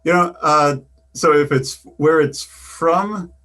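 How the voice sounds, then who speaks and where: A man's voice: fairly high pitch, slow, fairly bright tone. A middle-aged man with a Chicago accent, answering interview questions in a thoughtful way, often repeating words as he restarts. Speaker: guest, business coach.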